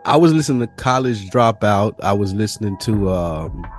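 A man's voice: on the low side, expressive, normal speed, darkish tone, faint music behind. A man hosting a podcast, chatting casually in informal, slangy language with some swearing. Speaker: podcast host.

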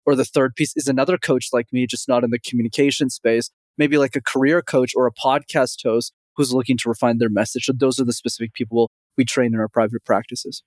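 A man's voice: mid-range voice, a little fast, hardly any pauses, dry recording. A young man from Montreal, Canada, a communication coach answering interview questions with confident energy and often saying the host's name. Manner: energetic, confident, frequently addresses host by name.

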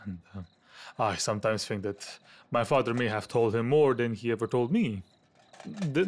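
A man playing a fussy, anxious Victorian gentleman in an audio drama, hesitant and stammering as he talks. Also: mid-range voice.

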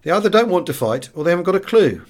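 A man's voice: sits high, big pitch swings, racing, voice pushed up. Man playing a breezy British fighter pilot. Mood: chatty, bemused. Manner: rambling, breezy wartime slang. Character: chatty young male RAF fighter pilot, breezy, slangy.

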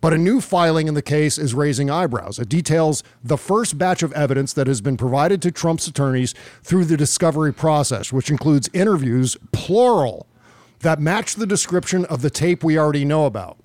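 American man, slightly high-pitched, dry close sound. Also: lively intonation, normal speed, a little mellow, no echo. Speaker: podcast host. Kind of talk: casual political commentary.

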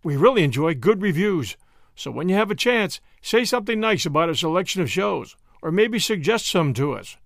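A man's voice: high-pitched, very animated, fairly quick, vocal fry, no echo, clean studio sound. A man hosting a show, speaking in a scripted sign-off style straight to listeners. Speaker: podcast host.

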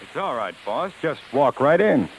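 An actor playing a smooth, cocky male vaudeville singer in 1950s radio drama, soft-spoken, coolly teasing and self-possessed. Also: mid-range voice, very animated, normal speed, poor audio. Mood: cool, evasive. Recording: thin, hissy old radio broadcast.

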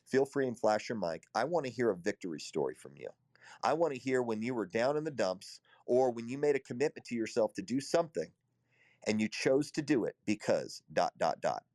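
A man hosting a live audio show, energetic and encouraging, calling listeners up to share their stories. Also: on the low side, no echo. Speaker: host.

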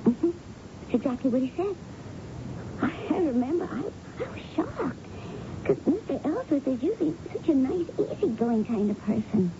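An actress playing a nervous, doubtful waitress in a 1970s radio drama, speaking hesitantly and second-guessing herself. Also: female voice, high-pitched, very animated, normal speed. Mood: agitated, hesitant. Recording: dull, noisy old radio broadcast.

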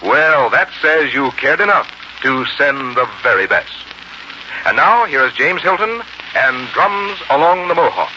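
A man's voice: fairly high pitch, lively intonation, measured pace, sharp tone. A man announcing in a polished, emphatic 1940s radio style. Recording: muffled, hissy 1940s radio recording.

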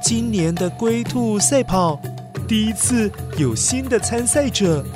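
A woman's voice: on the high side, lively intonation, measured pace, over music. A woman telling a scripted story to young children, speaking expressively and addressing them directly.

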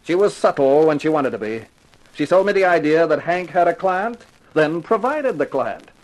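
A man's voice: a little high, sing-song, normal speed, rough recording. A man acting a cocky, sardonic private detective with flippant, slangy talk in a 1950s radio crime drama. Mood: knowing, accusing.

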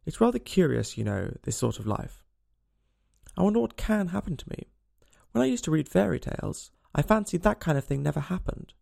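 Storybook girl, read by a man: wistful, puzzled, talking to herself, very animated, creaky. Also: fairly high pitch, fairly quick, exaggerated voice. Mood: wistful musing. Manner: wistful, self-chiding.

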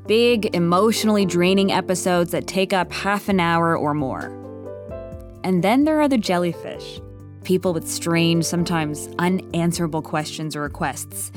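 A woman reading scripted narration for a comedic audio drama in a measured, wry storytelling manner. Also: on the low side.

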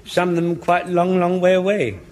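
Elderly English corporal acted by a man, high-pitched, rambling and excitable, old sitcom recording with clear background noise. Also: moderate pace, lightly acted. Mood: eager, rambling. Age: older. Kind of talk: British sitcom acting before an audience. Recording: noisy old radio comedy recording.

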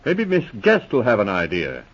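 Animated, eager police sidekick. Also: male voice, on the low side, normal speed, creaky, heavily acted. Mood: questioning, sceptical. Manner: animated, questioning. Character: police sergeant sidekick, eager, questioning, mildly sceptical man. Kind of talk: 1940s radio drama acting. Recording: dull, muffled old radio recording.

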